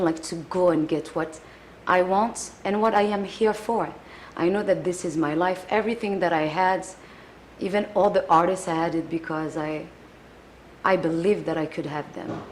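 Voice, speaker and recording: A young adult woman with a French accent, a singer answering interview questions in a casual, earnest way, often pausing to search for words. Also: on the low side, a little hiss or hum. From France.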